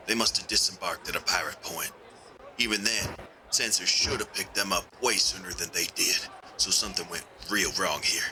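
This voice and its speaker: A man's voice: deep voice, sharp tone, slight background noise, poor audio. A man voicing a wary, commanding military major who delivers clipped battle orders in a tabletop game. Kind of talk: scripted military radio briefing.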